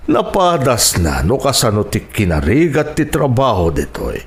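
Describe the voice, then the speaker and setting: A Filipino man playing a gentle, forgiving middle-aged landowner and father in a radio drama, with slow, emotive delivery. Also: mid-range voice, huge pitch swings. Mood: grateful, reflective.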